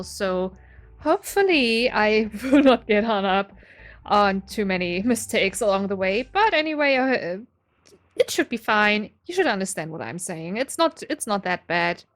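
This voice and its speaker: A woman's voice: medium pitch, expressive, measured pace. A woman in her twenties with a German accent, casually explaining her game setup in a chatty, self-deprecating way, often breaking into a laugh. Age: 20s. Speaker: gaming video creator.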